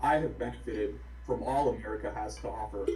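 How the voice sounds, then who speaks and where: A man in his thirties, from Bulgaria, a company executive reading prepared testimony in a composed, even way over a video call. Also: lowish voice, brisk. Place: home office on video call. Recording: echoey video call audio.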